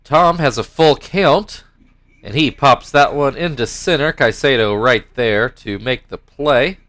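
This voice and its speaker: A young man with a stuffed-up nose talks casually over a baseball video game. His middle-pitched voice slips between rambling, self-correcting asides and mock play-by-play calls. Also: a little slow, a bit bright. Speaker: gaming YouTuber commentating his own baseball game league.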